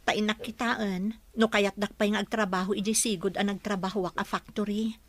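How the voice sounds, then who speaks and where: A woman's voice: medium pitch, fairly even intonation, a little fast, no room sound, close to an everyday voice. A woman playing a struggling, sorrowful young mother in an Ilocano radio drama. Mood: pleading.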